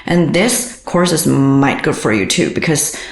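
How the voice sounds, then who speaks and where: Woman, slow halting delivery, casual review, echoey room. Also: sits low, animated, creaky. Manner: hesitant, many uh fillers, non-native phrasing. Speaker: co-host reviewing a language course.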